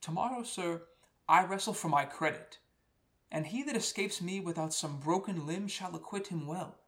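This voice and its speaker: A man's voice: high voice, normal speed, fairly bright tone. A man playing a proud but respectful professional wrestler in a Shakespearean radio play, speaking in an earnest, formal, declamatory way.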